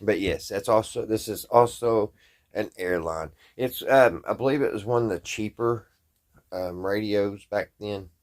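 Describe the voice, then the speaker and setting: Low-voiced man, folksy and halting. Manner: folksy, halting, thinking aloud. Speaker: hobbyist presenter. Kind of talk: casual hands-on demonstration to camera.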